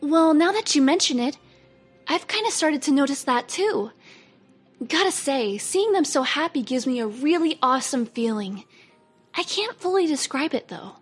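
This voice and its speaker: A sweet young woman, played by a woman, speaks gently and sincerely in a high voice. Her manner stays earnest and warm-hearted. Faint music plays behind her. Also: lively intonation, moderate pace.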